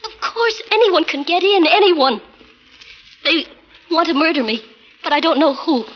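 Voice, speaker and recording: A woman's voice: very high-pitched, normal speed. An actress playing a frightened, frantic married woman in peril, in breathless 1940s radio melodrama style. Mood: frantic, pleading. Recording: muffled, noisy 1940s radio recording.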